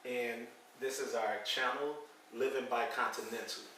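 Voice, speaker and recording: A man's voice: mid-range voice, steady tone, moderate pace, sharp tone, slight background noise. A middle-aged man from St. Louis with an American accent, hosting a casual chat with lots of fillers as he tells stories. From St. Louis, Missouri, USA. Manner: conversational storytelling, frequent fillers. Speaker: channel host. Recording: echoey room, mic at a distance.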